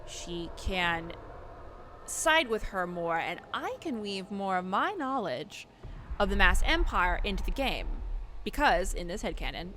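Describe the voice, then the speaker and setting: A woman's voice: medium pitch, big pitch swings, a little slow, slight background noise. A woman giving casual, chatty game commentary, thinking aloud in an informal way as the host of her video. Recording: loud music behind voice.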